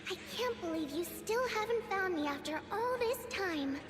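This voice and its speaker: A very high, childlike girl's voice speaks as a dead half-sister in a video game. She is sweet and taunting, eerie and accusing, with clear music playing underneath. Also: animated, normal speed. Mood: sweetly eerie. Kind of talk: dramatic video game voice acting.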